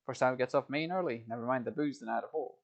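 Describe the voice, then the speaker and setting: A man's voice: medium pitch, fast, creaky, natural voice. A man voicing an affable working-class London workman with a put-on Cockney accent. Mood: chatty.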